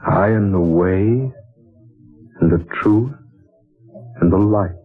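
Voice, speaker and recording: A solemn old mystic stranger. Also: male voice, lowish voice, soft background music. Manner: slow, grave, measured pronouncements. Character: solemn older male mystic stranger, patient and wise. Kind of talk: 1940s radio acting. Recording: narrow tinny old radio broadcast.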